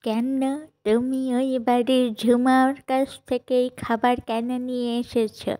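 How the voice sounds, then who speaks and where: A woman's voice: on the high side, flat delivery, unhurried, warm tone, lightly acted. A woman playing a stern older village mother in a cartoon, questioning and scolding. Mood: questioning, displeased. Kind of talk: cartoon voice acting.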